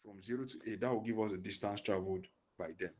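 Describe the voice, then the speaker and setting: A man runs an online physics lesson through a headset on a video call. His voice sits fairly low, and he quizzes his students as he goes, answering the questions himself. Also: fairly even intonation, quick pace. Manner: asks leading questions and fills in the answers. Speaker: physics tutor.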